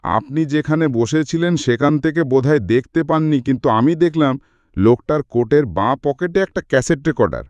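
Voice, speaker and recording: A man voicing an excitable middle-aged sidekick in a story, chatty and suspicious, with a confiding, emphatic manner. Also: a little high, moderate pace, dry recording, highs cut off, natural voice. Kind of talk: audiobook character dialogue.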